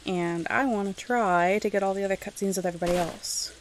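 A woman's voice: medium pitch, quick pace, a little hiss or hum, low-quality recording. A woman giving casual let's-play commentary as she games, rambling and thinking aloud to herself. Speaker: video game streamer narrating gameplay.

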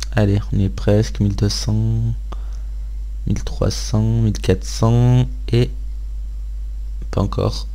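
Low-voiced man doing casual game commentary. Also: a little mellow. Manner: informal, thinking aloud. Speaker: gaming video host.

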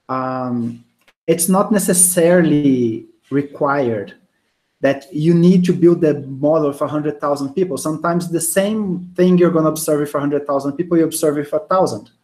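A man in his thirties speaks English with a Brazilian Portuguese accent, giving an easygoing online university lecture. His pitch sits in a middle range, and he talks in a loose, conversational way, full of fillers and restarts. He is recorded in a small home office through a wired earphone mic on a video call. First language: not English. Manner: relaxed, conversational, many fillers and restarts, gestures with hands. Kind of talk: casual online lecture answering student questions.

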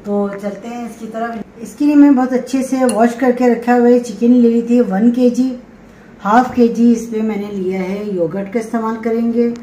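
A woman's voice: a little high, steady tone, normal speed, mellow. A middle-aged woman hosting a home cooking demonstration, giving casual, chatty recipe instructions in a kitchen. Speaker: home cooking show host. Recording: roomy kitchen echo, background noise.

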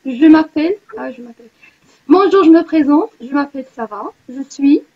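A woman leads an online class, her voice high and bright above a muffled, lo-fi call line. She explains things step by step and encourages the learners. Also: animated, normal speed. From Morocco. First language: Arabic. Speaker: teacher. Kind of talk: guiding an online language class. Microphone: video call. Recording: dull lo-fi call audio.

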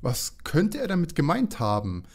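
A man's voice: on the high side, wildly swooping pitch, moderate pace, very noisy. A man playing a good-natured, slightly naive young detective in an audio drama parody. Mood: puzzled, pondering.

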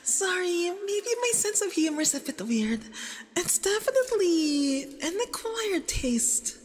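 Woman as a very high-voiced, nervous yandere boyfriend. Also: very animated, measured pace, full character voice. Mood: nervous, eager. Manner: flustered, stammering, possessive. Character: nervous, jealous, obsessive young femboy yandere boyfriend on a date. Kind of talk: ASMR roleplay acting. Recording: long reverb, doubled voice track.

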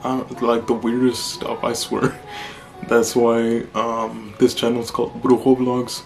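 A man in his twenties with a deep voice, chatting casually through a Q&A vlog in a small room, blunt and relaxed. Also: unhurried, vocal fry, faint music behind. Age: 20s. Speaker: vlogger.

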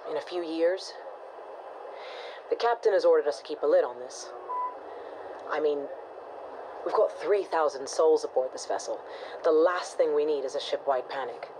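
A low-voiced woman acting as a worried starship officer records a secret emergency log for a sci-fi game. She sounds grave and hesitant, under clear noise like a radio recording. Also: natural voice. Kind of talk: audio log acting, measured report.

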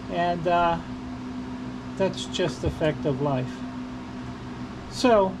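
Male vlogger, casual rambling delivery, slow pace, mid-pitched voice, noisy working surroundings. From Portugal. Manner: halting, rambling, repeats himself. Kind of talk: casual unscripted vlog chat while working. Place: working on a car during an oil change. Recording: noisy, with vehicle and ambient hum.

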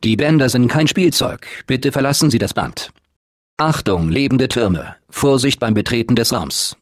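A man plays a male automated announcer for a video game facility. He speaks at a medium pitch, formal and measured. His temper is unflappable and blandly upbeat, delivering everything as polite, deadpan official information. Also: dry recording, studio quality, natural voice. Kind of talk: scripted game announcements, German dub.

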